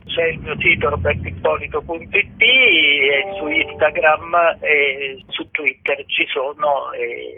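A man speaking as an interviewed author in an earnest, halting way over the telephone. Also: on the high side. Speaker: author interviewed about own book. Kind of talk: radio phone interview. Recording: muffled, narrow phone line.

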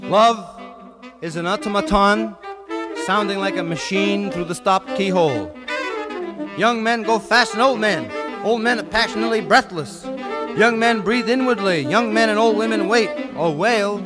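Male beat poet, high-pitched, rhythmic declamatory reading, loud saxophone jazz playing along. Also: unhurried. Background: loud saxophone and brass music.

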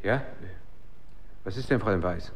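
A man's voice: sits low, moderate pace, close to an everyday voice. An actor playing a casual, mildly grumbling married professional man in a naturalistic radio drama, offhand and dry. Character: casual, mildly grumbling husband, a working doctor.

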